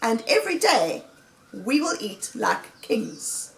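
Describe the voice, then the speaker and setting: A woman's voice: fairly high pitch, sing-song, takes it slowly, creaky, a bit bright, echoey room, heavily acted, rough recording. A woman voicing a boastful, jubilant male fox who gives grand, rallying speeches in a storybook.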